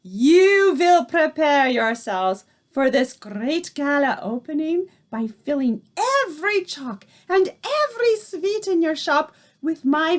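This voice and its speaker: A woman reading aloud, voicing the tiny Grand High Witch, a furious and commanding female witch leader who shouts and speaks in odd broken grammar. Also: heavily acted. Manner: shouting, shrieking, odd foreign-sounding grammar.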